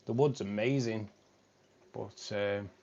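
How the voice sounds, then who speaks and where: Rambling live stream host, man with a lowish voice, muffled lo-fi audio, faint hiss behind. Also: fairly even intonation, slow, creaky, darkish tone. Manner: chatty, rambling, keeps repeating himself. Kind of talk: casual live stream chat. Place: home room with fish tanks.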